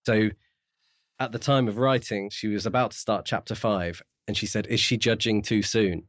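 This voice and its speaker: A man co-hosting a podcast, talking through books in a casual, measured way as he thinks aloud. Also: on the low side, steady tone, no echo. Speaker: podcast co-host. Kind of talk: casual book discussion.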